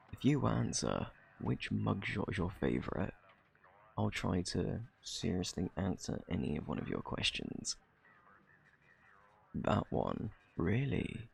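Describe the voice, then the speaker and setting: Male actor as a cocky criminal charmer, British accent, very creaky low voice, teasing, sardonic, ASMR roleplay. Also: heavily acted. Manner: teasing, sarcastic, theatrical drawl. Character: flirty, cocky, sardonic male thief under interrogation. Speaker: audio roleplay performer.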